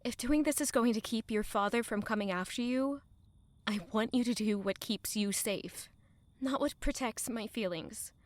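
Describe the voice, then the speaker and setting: Woman playing an earnest, higher-voiced young sweetheart. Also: normal speed, no room sound, close to an everyday voice. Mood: sad, resigned. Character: guilt-ridden, selfless young woman secretly loving a prince. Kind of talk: intimate audio drama acting.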